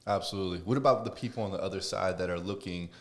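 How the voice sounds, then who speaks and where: A man hosts a relaxed interview, asking questions and cheering his guest on. His voice sits on the low side and he speaks at an easy conversational pace. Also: very fast. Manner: encouraging, asks questions, hypes the guest. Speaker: podcast host. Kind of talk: casual interview talk.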